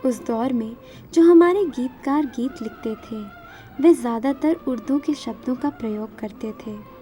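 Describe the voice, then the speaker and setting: Woman, native Marathi speaker, high-pitched, deeply mellow tone, scripted autobiographical narration, music behind. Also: unhurried. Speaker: singer narrating her life story.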